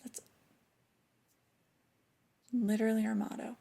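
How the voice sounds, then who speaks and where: Breathy, soft-spoken flirty female boss, acted by a woman. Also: a little high, level tone, exaggerated voice. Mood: stern, disappointed. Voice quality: breathy, often whispery. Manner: slow, deliberate, intimate reprimanding. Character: flirty, disappointed female store boss scolding an employee. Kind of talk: soft-spoken ASMR roleplay.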